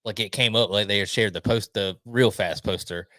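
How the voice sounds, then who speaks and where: Male co-host, lower voice, rambling and hesitant, dry sound. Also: normal speed, sharp tone, no echo. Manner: rambling, hesitant, lots of fillers. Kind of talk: casual podcast chat.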